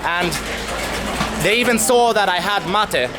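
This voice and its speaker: A young man telling a travel story to camera as a vlogger, candid and animated, swearing casually, outdoors in a city street. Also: sits high, unhurried. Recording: music playing under the voice.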